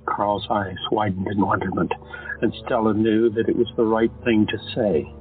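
A man narrating an audio drama in a steady storytelling manner. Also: lowish voice, normal speed. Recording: tinny phone-like sound, faint music.

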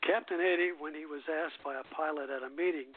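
A man with a slightly high voice hosts a radio show in a casual style. He reads quotes haltingly, and the sound is thin and narrow, like a telephone line. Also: fairly even intonation. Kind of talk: casual hosting, reading quotes from a book.

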